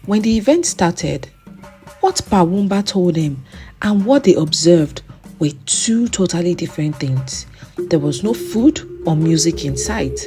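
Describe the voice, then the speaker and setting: A woman calmly narrating a scripted folktale for children. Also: lowish voice, a little slow, soft background music. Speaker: storyteller.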